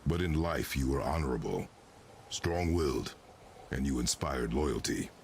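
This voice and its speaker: Stern weary warrior father, extremely deep, gravelly, terse commands, game cinematic, loud ambience behind. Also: unhurried, a little hiss or hum. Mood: measured, solemn. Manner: terse, commanding, formal wording. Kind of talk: video game cinematic acting.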